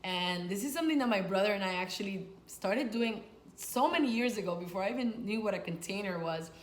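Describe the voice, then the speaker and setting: A woman's voice: mid-range voice, lively intonation, a little fast. Echoey woman coach talking informally to camera. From Dominican, grew up in New York. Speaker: sex and life coach, video host. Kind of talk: conversational coaching advice to camera. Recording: echoey room with faint noise.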